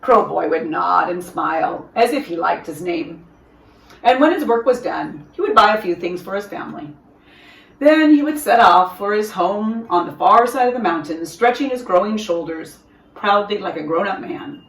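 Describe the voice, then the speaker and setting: Echoey, expressive woman reading a storybook. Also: medium pitch, moderate pace. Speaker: storyteller reading a children's picture book. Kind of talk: expressive read-aloud of a children's story. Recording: roomy echo, distant from microphone.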